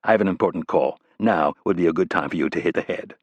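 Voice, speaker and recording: A man playing a tough, blunt male covert operative who talks low, curt and sardonic, in an audiobook. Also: fast, no echo. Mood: dry, curt.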